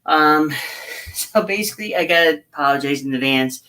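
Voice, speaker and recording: A hoarse woman chatting casually on a livestream as she builds a scale model, rambling and halting with frequent fillers. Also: low voice, lively intonation, pushed and bright, low-quality recording. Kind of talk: casual livestream chat while working.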